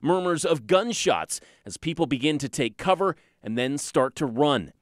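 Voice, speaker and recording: Higher-voiced male news reporter narrating. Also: lively intonation, moderate pace, no echo, studio quality. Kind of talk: scripted news package narration.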